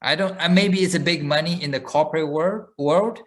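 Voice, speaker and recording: A man's voice: fairly high pitch, brisk, fairly bright tone. A man co-hosting a podcast, chatting casually and telling a long story with frequent fillers and restarts. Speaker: podcast co-host. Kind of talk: casual chat.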